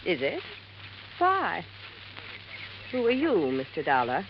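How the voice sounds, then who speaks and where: An actress playing a haughty, demanding college toxicologist in 1950s radio drama, speaking in a formal, imperious, precise way. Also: female voice, fairly low pitch, huge pitch swings, slow pace, exaggerated voice. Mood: prim, suspicious. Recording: dull, noisy vintage radio recording.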